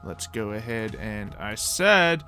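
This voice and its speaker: A man with a bright, forward voice of middling pitch runs a tabletop game as game master. He narrates casually and conversationally, with music playing behind him. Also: very animated, takes it slowly. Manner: informal, conversational.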